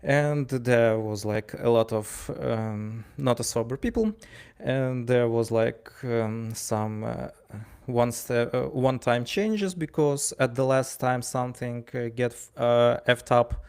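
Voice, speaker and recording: A man with a Ukrainian accent who works as a wrestling ring announcer, casually telling anecdotes in a halting way, stumbling over words and acting out dialogue. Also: mid-range voice, a little mellow, clear, resonant tone.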